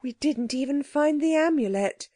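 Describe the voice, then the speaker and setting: A woman voicing an earnest, caring young girl in a storybook reading, pleading and anxious but gentle. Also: high voice, animated, moderate pace, no room sound, clean studio sound, pitched above the performer's own voice. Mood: shaky.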